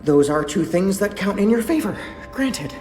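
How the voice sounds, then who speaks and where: A jittery young engineer who stammers and rambles. His voice sits fairly high and leaps up and down as he talks. The game audio rings with long, roomy reverb. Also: expressive, moderate pace, a little mellow, faint music behind. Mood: flustered. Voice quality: stammering, flustered, talkative. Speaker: lead engineer character. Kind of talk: video game voice acting.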